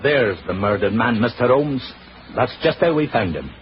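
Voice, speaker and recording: Man acting a folksy police sergeant, lively pitch. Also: moderate pace, loud music behind the voice, natural voice. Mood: dutiful. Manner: hesitant, folksy, stammering repeats. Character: deferential, chatty, plodding male police sergeant. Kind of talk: 1940s radio drama acting. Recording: muffled, noisy 1940s radio recording.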